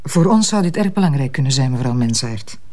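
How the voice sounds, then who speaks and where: A woman's voice: extremely low pitch, lively intonation, brisk, darkish tone. Flemish female detective: direct, formal, persistent questioner for a radio play. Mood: direct, sceptical. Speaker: actor. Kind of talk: audio drama dialogue.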